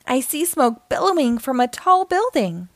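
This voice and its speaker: A woman narrating a children's picture book aloud with animated, dramatic storytelling aimed at young children. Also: high voice, normal speed, no room sound. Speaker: storybook reader, host.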